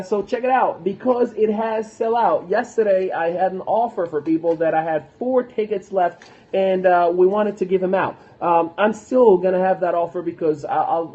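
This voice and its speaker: A man's voice: high voice, warm tone, dull audio. A young man from Morocco, a motivational speaker, talking earnestly on a livestream with many fillers and restarts. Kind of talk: casual livestream talk.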